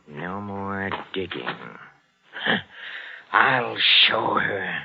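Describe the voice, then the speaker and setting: An actor playing a meek, henpecked middle-aged botany professor, hesitant and mannered, in old-time radio drama style. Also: male voice, sits low, extremely slow, vocal fry, slightly rough, heavily acted. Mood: gleefully defiant. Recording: dull vintage radio drama recording.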